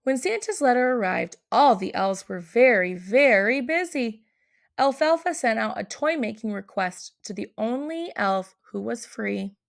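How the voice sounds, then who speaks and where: A woman's voice: a little high, lively intonation, unhurried, creaky. A woman narrating a picture book aloud for children. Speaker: storybook reader.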